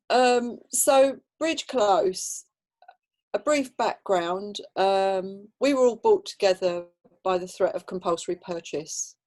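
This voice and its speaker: A woman's voice: medium pitch, darkish tone. A woman giving an informal, rambling slide presentation on a video call. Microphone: video call microphone.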